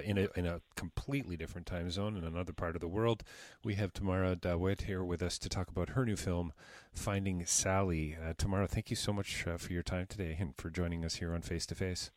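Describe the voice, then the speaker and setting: A man hosting an interview show, reading promos and talking in a halting way full of restarts and fillers. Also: low-pitched, fairly even intonation, no room sound, clean studio sound. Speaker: podcast host. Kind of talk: casual interview with promo reads.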